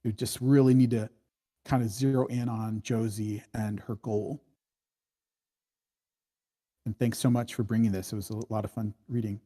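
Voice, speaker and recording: A man's voice: fairly low pitch, level tone, normal speed, pauses often, dark tone. A man giving casual spoken feedback on a screenplay, rambling and hedging, with many false starts as he thinks aloud. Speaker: screenwriting workshop critic giving script notes.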